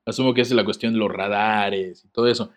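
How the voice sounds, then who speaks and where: Dude on a podcast, reads a letter out then jokes around, laughs a lot. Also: male voice, fairly low pitch, steady tone, fast talker. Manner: joking, laughs often, reads with comic asides. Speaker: podcast co-host. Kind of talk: casual podcast chat, reading a letter aloud.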